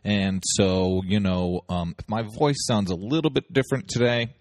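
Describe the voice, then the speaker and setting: A man's voice: on the low side, fairly even intonation, moderate pace, a little mellow, no room sound. A man hosting a casual solo podcast while getting over a cold, talking loosely with many ums and uhs. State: recovering from a cold. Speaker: podcast host.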